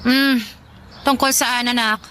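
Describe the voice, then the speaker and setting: Bright-voiced, scolding Filipina mother. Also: on the high side, fairly even intonation, slow pace, slight background noise. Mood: surprised, doubting. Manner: argumentative, sharp questioning retorts. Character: defensive, scolding, dismissive middle-aged Filipina mother. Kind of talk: Filipino radio drama acting.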